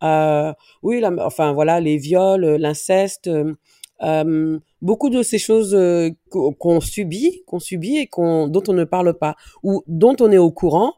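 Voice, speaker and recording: A woman from France speaking as an interview guest, giving a personal testimony and pausing often to search for her words. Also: on the low side, dark tone, dry recording, studio quality. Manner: halting, searches for words, many restarts. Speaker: interview guest, dance teacher.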